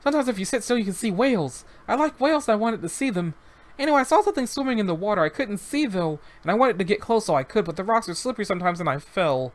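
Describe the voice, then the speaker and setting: A wide-eyed little boy spills out his story in a high, eager voice, his pitch bouncing all over as he rushes from one thought to the next, as a man puts him on. He sounds earnest and a bit breathless, like a child who badly wants to be believed. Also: lively intonation. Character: young boy, earnest and chatty, fond of whales.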